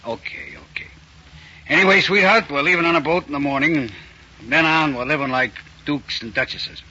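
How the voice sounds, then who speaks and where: An American actor playing a slangy, coaxing racketeer boss in a 1940s radio crime drama, speaking a little haltingly. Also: male voice, a little high, bright, forceful tone, breathy edge, heavily acted. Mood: coaxing, upbeat. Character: smooth racketeer boss eager to go straight. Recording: dull, noisy 1940s radio recording.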